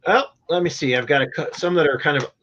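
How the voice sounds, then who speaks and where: A man's voice: fairly high pitch, fast, a bit bright, highs cut off. A middle-aged man hosting a music podcast in casual chat, matter-of-fact and openly opinionated. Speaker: podcast host.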